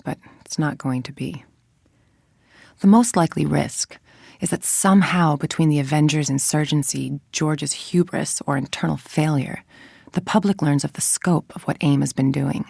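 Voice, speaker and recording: A woman playing a cold, ambitious scientist villain in a video game, speaking in a measured, precise, menacing way. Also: low-pitched, gravelly, darkish tone, breathy edge, dry recording, clean studio sound, full character voice. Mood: guarded, scheming. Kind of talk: video game voice acting, monologue.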